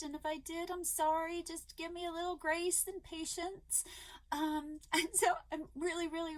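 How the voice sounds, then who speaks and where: A woman talking casually to her viewers as a crochet vlogger, rambling and upbeat with lots of ums and restarts, her voice a little hoarse. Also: high-pitched. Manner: eager and upbeat. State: slightly hoarse, losing her voice.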